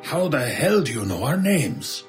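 A man's voice: medium pitch, big pitch swings, measured pace, faint music behind. An actor playing a nervous, frightened but placating male shopkeeper in a horror radio drama, anxious and prone to stammer. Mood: wary. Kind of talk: old time radio style horror audio drama.

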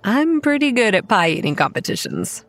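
An actress playing a wry, weary young campground manager who narrates a horror audio drama in a measured, dry way. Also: female voice, fairly high pitch, sing-song. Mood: casual. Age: young adult. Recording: music under the voice.

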